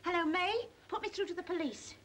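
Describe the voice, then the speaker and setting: An actress playing a kindly, cautious village postmistress with an English accent in an old British film. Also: female voice, very high voice, fairly quick, a bit bright. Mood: concerned, soothing. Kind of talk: 1950s British film acting. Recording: noisy old film soundtrack.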